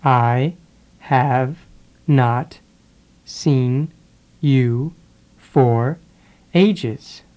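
This voice leads an English lesson at a very slow pace, spacing every word apart so learners can follow. Its pitch sits in the middle range, and its delivery is careful and deliberate. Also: darkish tone, low-quality recording. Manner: deliberate, pausing after each word. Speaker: English teacher. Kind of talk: slow drill of phrases, repeating word by word.

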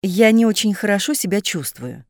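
A woman reading short phrase translations aloud for a language lesson in a clear, measured way. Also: medium pitch, lively intonation, darkish tone, no echo, studio quality. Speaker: phrasebook reader.

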